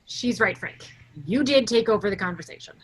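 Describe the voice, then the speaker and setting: A woman playing a bossy, scolding office colleague with a husky edge in a staged play reading. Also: mid-range voice, lively intonation, a little fast, low-quality recording.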